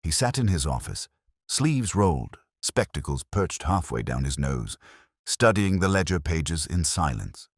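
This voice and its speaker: Male narrator for scripted mystery: extremely low, lively intonation, slightly husky, close dry sound. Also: a little slow, no room sound.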